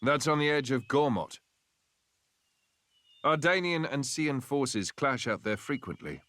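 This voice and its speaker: A man's voice: medium pitch, expressive, a little slow, a bit bright, no echo. An actor playing a confident, earnest young nobleman in a fantasy game, articulate and encouraging. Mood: composed, decisive.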